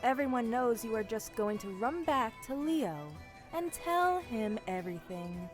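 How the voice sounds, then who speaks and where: A high female voice acted by a woman plays a sassy young assassin in a fantasy audio drama. The delivery is chatty, excitable and defiant, with faint music underneath. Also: unhurried. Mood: hostile, wary. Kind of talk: amateur fantasy audio roleplay acting.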